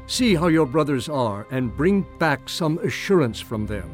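Animated fatherly man, highish voice. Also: measured pace, faint music behind. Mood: concerned, instructing. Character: fatherly older man giving careful instructions. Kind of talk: dramatized scripture audiobook acting.

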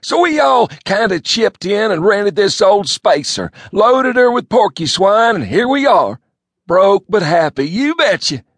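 Hick cousin read by a male narrator: raised, booming, folksy drawl, bouncing pitch. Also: big pitch swings, a little slow, no echo, clean studio sound, full character voice. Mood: hearty, folksy. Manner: folksy drawl, ungrammatical country talk. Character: bumbling rural hick cousin, man, loud and folksy. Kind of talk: audiobook character voice.

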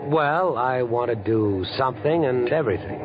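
An actor playing an idle rich young playboy in a vintage radio drama, overfamiliar and talkative, with a reckless, coolly murderous streak. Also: male voice, mid-range voice, expressive, normal speed, noisy background, natural voice. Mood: restless, bitter. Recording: dull old radio recording.

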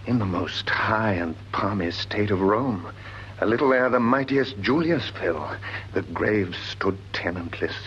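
A grave scholar in a classical radio play, speaking in a low, declamatory voice. He is learned and stately, shaping verse with measured rhetoric. The recording is dull and old, with some noise. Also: a bit bright. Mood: ominous. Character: learned, grave gentleman scholar confronting dread omens. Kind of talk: classical Shakespearean radio drama acting. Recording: dull, noisy vintage drama recording.

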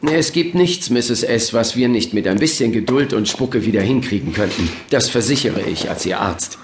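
A patronizing male doctor, played by an actor, talks with a very lively, swinging intonation. He is glib and dismissive, in audio drama style. The room is echoey with faint noise. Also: medium pitch, moderate pace, lightly acted. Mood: glib reassurance. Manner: patronizing, breezy, expressive. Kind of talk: German audio drama acting. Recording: roomy echo, faint noise.

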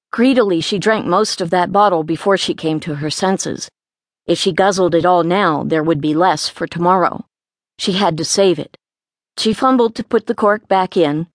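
A woman's voice: lowish voice, no echo, studio quality. A woman reading a story aloud as an audiobook narrator, in scripted narration.

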